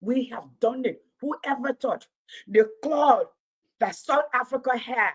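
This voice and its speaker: A woman's voice: fairly high pitch, slow, breathy edge. A woman in her late fifties giving impassioned livestream commentary, preaching in repeated rhetorical build-ups. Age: 50s. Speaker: commentator and Pan-Africanism teacher.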